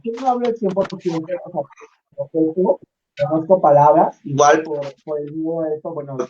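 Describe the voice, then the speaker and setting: A fairly high-pitched man's voice with a southern Mexican accent, heard through dull call audio. He talks informally as a panel guest, in halting, conversational phrases. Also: mellow, slight background noise. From Isthmus of Tehuantepec, Oaxaca, Mexico.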